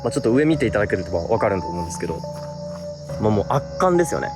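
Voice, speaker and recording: A man's voice: lowish voice, big pitch swings, racing, over music. A man hosting a ghost-spot exploration, explaining casually to camera outdoors at night.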